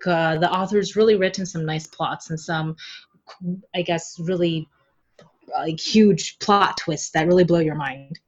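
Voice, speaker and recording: A woman's voice: on the low side, a little slow, lo-fi sound. A woman guest on a podcast, a translator talking casually about a novel she works on, often laughing and dotting her talk with fillers.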